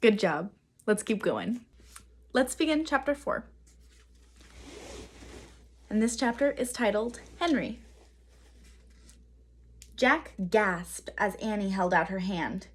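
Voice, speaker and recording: A woman reads a children's storybook aloud like a teacher. Her delivery is slow and dramatic, with very varied pitch and deliberate pauses. The voice has a slightly husky grain. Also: expressive.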